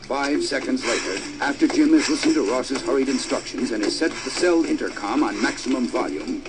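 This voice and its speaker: A man's voice: mid-range voice, lively intonation, moderate pace, loud music behind the voice, natural voice. A man narrating an adventure audio drama in a dramatic, scripted storytelling style.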